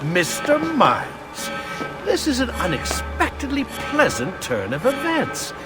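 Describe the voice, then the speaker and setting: A man's voice: extremely high pitch, wildly swooping pitch, slow pace, full character voice. An actor voicing a smug, condescending male villain scientist in a game cutscene. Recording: loud music behind.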